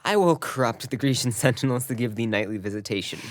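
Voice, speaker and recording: Ardent young warrior-lover, female actor, very deep register, earnest Shakespearean verse, dry audio-drama sound. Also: very animated, fairly quick, no room sound. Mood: jealous pleading. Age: young adult. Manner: earnest, declamatory verse delivery.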